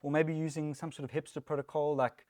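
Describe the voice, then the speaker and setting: South African man giving a relaxed tech talk. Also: fairly high pitch, fast, no room sound, studio quality. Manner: relaxed, chatty, self-deprecating, many ums. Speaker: conference speaker, technical lead. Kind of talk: casual tech meetup talk. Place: meetup room.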